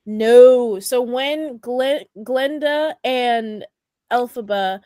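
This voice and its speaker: A woman's voice: on the high side, drawn-out words, warm tone, dry recording, clean studio sound. A woman chatting casually as a podcast panelist in a curious, agreeable, questioning manner. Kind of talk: casual chat, film review podcast. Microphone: online group call.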